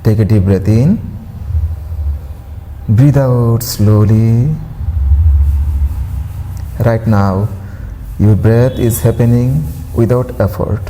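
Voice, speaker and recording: A man leading a guided meditation as an instructor, giving gentle, soothing, unhurried instructions with long pauses. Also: mid-range voice, slight background noise, rough recording.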